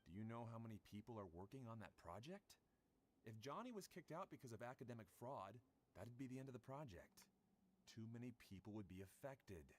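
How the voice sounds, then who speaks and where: An actor playing a selfless male researcher who speaks in a calm, resigned way. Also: mid-range voice, a little fast, slight background noise, natural voice.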